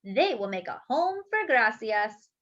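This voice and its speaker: Grandmother role read by a woman, storybook. Brisk, firm, caring, bright and high-pitched. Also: sing-song, heavily acted. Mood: kindly. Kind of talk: storybook character voice. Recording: dull lo-fi recording.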